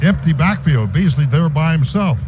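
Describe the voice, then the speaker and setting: A man's voice: a little high, animated, moderate pace, muffled recording, noisy background. A man calling football play-by-play and reading sponsor spots on a radio broadcast from a stadium booth. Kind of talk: live sports commentary with sponsor reads.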